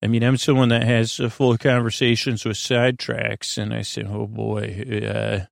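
A man with a creaky voice hosting a bedtime podcast in a soothing, lulling, meandering monologue that halts and drifts into tangents. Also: on the low side, flat delivery, dry recording, clean studio sound. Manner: meandering, halting, trailing off into tangents and repeats. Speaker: host of a bedtime story podcast. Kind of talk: rambling bedtime monologue to lull listeners to sleep.

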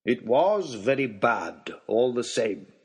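Man voicing a stern, measured, calm host. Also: medium pitch, exaggerated voice. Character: calm, stern male host, quietly hard.

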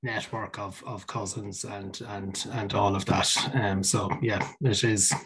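A man's voice: fairly low pitch, level tone, a little slow, fairly bright tone, husky, rough recording. A man from Ireland with an Irish accent, answering interview questions informally and reflectively, often repeating words as he thinks. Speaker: interview guest, company executive.

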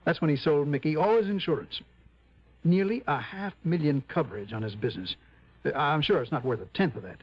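An American actor playing a harried, grumbling insurance office manager in a vintage radio drama. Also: male voice, fairly high pitch, animated, a little fast, dry recording. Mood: grumbling, confiding. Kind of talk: 1950s-60s American radio drama acting. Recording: dull vintage radio recording.

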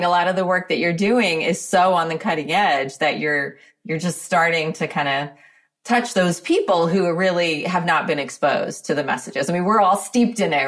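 A woman's voice: fairly low pitch, fairly bright tone. A woman in her fifties hosting a podcast, speaking encouragingly and affirming her guest often. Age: 50s. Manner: encouraging, many affirming backchannels. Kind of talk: casual conversation.

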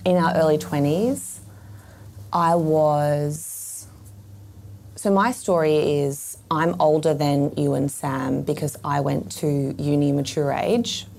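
A woman in her thirties with a croaky voice, reflecting thoughtfully on her past. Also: deep voice, steady tone, takes it slowly, a little mellow, slight background noise. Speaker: guest. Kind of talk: reflective conversation.

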